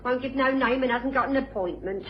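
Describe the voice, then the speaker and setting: An actress playing a plain-spoken, working-class housekeeper in a radio drama, blunt and colloquial. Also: female voice, sits high, brisk, natural voice. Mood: dutiful, disapproving. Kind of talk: old-time radio drama acting. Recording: noisy muffled old radio recording.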